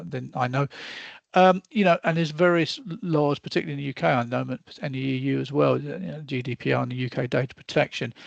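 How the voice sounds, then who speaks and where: Man, middle-aged, webinar presenter, gravelly, hesitant, self-deprecating, losing his voice, video call mic. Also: medium pitch, no echo.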